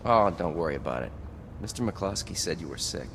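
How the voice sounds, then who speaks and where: An actor playing a friendly, deferential parking attendant in an audio drama, informal and folksy. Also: male voice, deep voice, lively intonation, brisk, fairly bright tone. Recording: echoing hall, faint vehicle noise.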